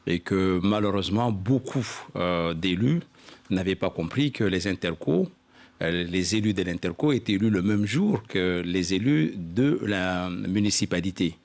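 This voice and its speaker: A man speaking as an elected local official in a formal political interview, explaining points haltingly with repeated filler phrases. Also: deep voice, lively intonation, a little mellow.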